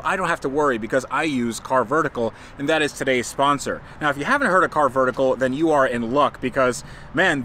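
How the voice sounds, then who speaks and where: A man's voice: fairly high pitch, fairly bright tone, slight background noise. A man in his thirties hosting a car restoration vlog, explaining parts casually and reading a sponsor spot with upbeat energy. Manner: upbeat, joking, quick sponsor delivery. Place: indoor room for sponsor segment, garage lot outdoors elsewhere.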